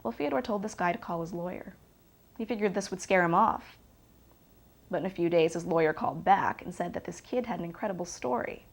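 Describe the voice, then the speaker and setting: A woman's voice: fairly low pitch, fairly quick, creaky. A woman playing a candid, self-assured girlfriend, speaking frankly in a dramatised documentary interview. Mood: confiding.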